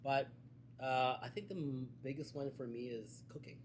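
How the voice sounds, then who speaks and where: A man speaking as a guest in a casual conversation, measured and hesitant with frequent fillers. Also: medium pitch. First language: Cantonese. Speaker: guest, events and media producer. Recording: faint background noise.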